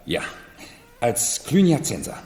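An actor playing a brisk, deferential retainer in a 1970s German radio play, emphatic and formal. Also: male voice, mid-range voice, huge pitch swings, warm tone. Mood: brisk, eager. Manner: emphatic, repeating himself, formal. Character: brisk, deferential male retainer organizing a costume masquerade. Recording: reverberant, noisy 1970s radio drama recording.

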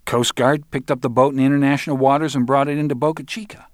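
Man as a tense, high-pitched young dealer. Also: fairly even intonation, fast, no echo, clean studio sound, close to an everyday voice. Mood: defensive. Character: tense, defensive young male drug dealer.

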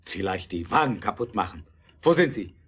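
An actor playing a principled, earnest German motorcar inventor in an audio drama, speaking in a measured way. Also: male voice, low-pitched, animated, fairly bright tone, slightly rough, full character voice. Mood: weary, wary.

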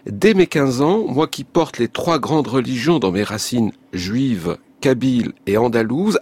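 A man hosts a radio interview in a composed way. His voice is husky, and he reads quotations back to his guest and introduces the excerpts. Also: mid-range voice, darkish tone.

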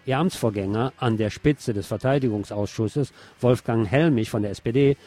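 A man's voice: medium pitch, moderate pace, dark tone. A man hosting a news show, reading press articles aloud and adding sardonic, emphatic commentary. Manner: sardonic asides, emphatic repetition.

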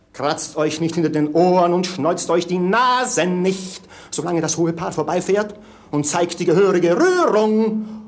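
Bossy, higher-pitched village schoolmaster played by a man. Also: lightly acted. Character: officious village schoolmaster drilling peasants, comic, bossy. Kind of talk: 1950s German radio play acting.

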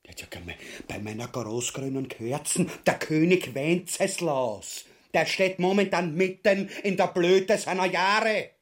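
An actor with a Viennese accent playing a pompous, crude, cowardly husband in a satirical radio play, blustering and muttering theatrically. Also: male voice, high-pitched, a little slow, hardly any pauses.

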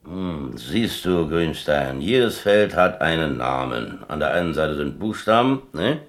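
An actor playing a worldly, plain-spoken prison inmate in a radio drama, explaining things in a colloquial, laconic, matter-of-fact way. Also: male voice, a bass voice, sing-song, a little slow, heavily acted. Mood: patient, instructive.

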